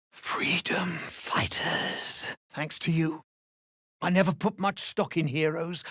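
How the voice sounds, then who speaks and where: An actor voicing a weary but grateful deep gnome rebel in a video game, with an earnest, measured way of talking. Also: fairly bright tone, dry recording, studio quality. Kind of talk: video game character dialogue.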